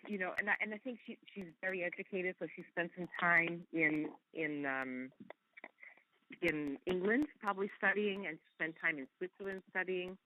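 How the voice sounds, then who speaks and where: A woman's voice: lowish voice, moderate pace, narrow, tinny audio. A woman from Canada whose first language is Hungarian, talking effusively as a guest actress in a casual interview.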